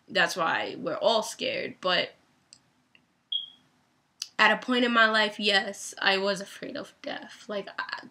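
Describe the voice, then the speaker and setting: A young woman in a reflective personal vlog, speaking thoughtfully and deliberately about faith, her voice going dry and raspy. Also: medium pitch, pushed and bright. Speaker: vlogger and author.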